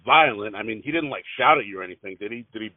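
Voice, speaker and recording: A man asking casual questions as an interviewer on a phone call, in a halting, hesitant and rambling way. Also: on the low side, animated, dry recording. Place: telephone call. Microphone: phone line. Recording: narrow muffled phone-line audio.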